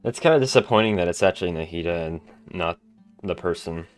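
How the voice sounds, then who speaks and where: A man's voice: low-pitched, brisk, smooth, faint music behind. A man giving casual let's-play commentary in an offhand, hesitant way that tends to trail off. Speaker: video game player commentating.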